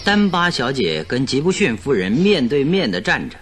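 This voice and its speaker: An actor playing a sharp, composed detective in a radio drama, speaking in a measured, questioning and authoritative way. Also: male voice, mid-range voice, big pitch swings, soft background music. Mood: pressing.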